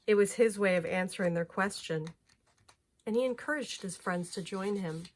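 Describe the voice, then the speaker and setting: A woman reading a children's picture book aloud in an expressive storytelling manner, phrasing clearly for young listeners. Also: mid-range voice, moderate pace, a little hiss or hum.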